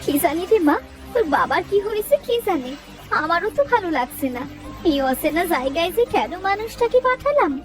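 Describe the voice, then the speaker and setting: A woman voicing a worried village wife and mother in a cartoon story, fretful and expressive. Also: extremely high pitch, a little fast. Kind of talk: dramatic cartoon story dub. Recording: loud music under the voice.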